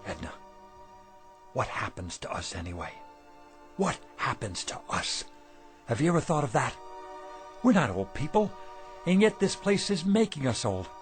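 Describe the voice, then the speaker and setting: A man's voice: a little high, very animated, normal speed, long pauses, faint music behind. A man acting in a radio drama as a weary, stubborn, embittered shopkeeper husband, plain-spoken and emphatic. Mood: bitter, despairing.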